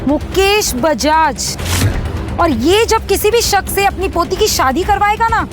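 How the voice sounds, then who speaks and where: A woman playing a gossiping onlooker in an audio drama, excited and emphatic. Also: very high-pitched, measured pace, over music. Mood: gossipy, awed. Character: gossiping onlooker awed by a rich old man. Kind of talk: Hindi audio drama acting.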